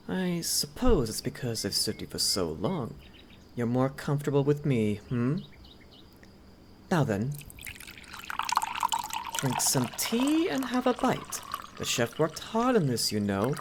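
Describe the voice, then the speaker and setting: A woman acts out a loyal, courteous head butler serving a noble lady in an ASMR roleplay. His voice sits low and moves expressively up and down, with formal, deferential phrasing. Faint insect ambience runs behind it. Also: a little mellow, a little hiss or hum, full character voice. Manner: polite, deferential, formal servant speech. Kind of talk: ASMR roleplay, scripted character acting.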